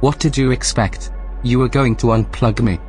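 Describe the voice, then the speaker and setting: A male actor voices a computer intelligence in an audio drama. It is composed and formal, with a smug edge and a medium pitch. Its delivery stays measured and matter-of-fact. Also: steady tone, a little mellow, faint music behind, natural voice. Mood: smug reproach.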